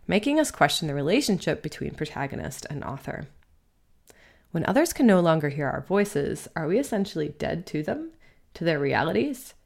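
Low-pitched, creaky-voiced woman reading an essay. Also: a little mellow. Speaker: host reading their own essay. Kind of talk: scripted narration of a literary essay.

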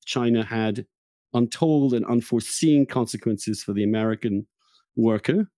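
A man hosting a podcast, arguing a political point earnestly and deliberately, with some hesitation. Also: medium pitch, warm tone, dry recording. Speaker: podcast host.

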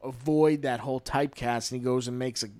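A man's voice: medium pitch, steady tone, a little fast, darkish tone, no echo. A man chatting casually on a film podcast, rambling with lots of "you know" and "I mean.". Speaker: podcast guest, film commentator.

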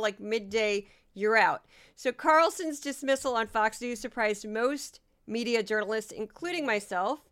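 Woman podcast host, sardonic commentary delivery, voice fading, smooth and higher-pitched. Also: a bit bright. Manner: sardonic, talks to listeners directly. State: losing her voice.

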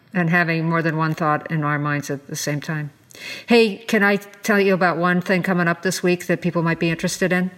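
An American woman talks as a podcast co-host in an explanatory, conversational style. She lays out policy matters informally. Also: low-pitched. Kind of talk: explanatory discussion of environmental policy.

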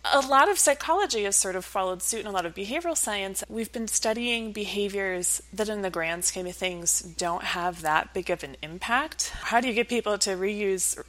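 A woman's voice: medium pitch, fairly bright tone, poor audio. A woman speaking as an academic researcher in an interview, explaining conversationally with everyday examples.

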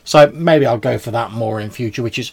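A man's voice: mid-range voice, fairly quick, vocal fry, a little mellow, husky. A man hosting a podcast, chatting informally and casually as he replies to listener messages. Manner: informal, chatty, often says "dude". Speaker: podcast host. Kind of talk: casual podcast talk responding to listener voicemails.

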